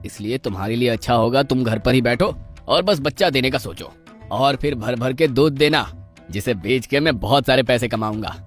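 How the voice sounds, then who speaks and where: A man's voice: medium pitch, expressive, moderate pace, soft background music, lightly acted. A man playing a greedy village master in a cartoon story, speaking in a dramatic, emphatic way. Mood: scornful, defensive. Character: greedy, cruel male village master, self-pitying when cornered. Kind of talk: Hindi cartoon story voice acting.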